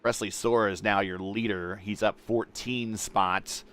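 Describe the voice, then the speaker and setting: A man calling play-by-play for an online sim racing broadcast, steady through caution laps and rising in pitch and volume at restarts. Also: unhurried, smooth. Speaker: lead race commentator.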